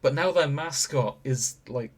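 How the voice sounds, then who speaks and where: A man's voice: mid-range voice, unhurried, crowd noise. A British man co-hosting a podcast in casual comic banter, jokey and sweary, given to wandering off on tangents. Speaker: podcast co-host.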